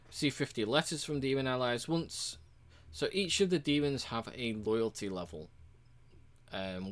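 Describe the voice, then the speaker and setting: A man casually walking through a game's achievements, relaxed and rambling, with frequent ums and uhs. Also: lowish voice. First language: English. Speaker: game reviewer.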